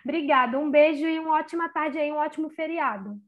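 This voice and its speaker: A woman's voice: sits high, quick pace, highs cut off. A woman hosting a podcast, chatting casually and effusively with slang. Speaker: podcast host. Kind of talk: casual chat.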